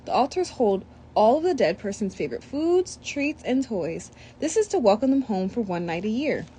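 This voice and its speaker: Brief: young woman, children's storybook read-aloud, bright and bouncy pitch, faint room hum. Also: normal speed. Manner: reads with lively asides and commentary. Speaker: storybook reader.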